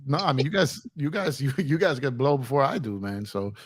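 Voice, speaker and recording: A man with an American accent touched by New York, hosting a casual sports livestream in a slangy, repetitive, animated way. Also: medium pitch, fairly quick, dry recording. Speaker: sports talk show host.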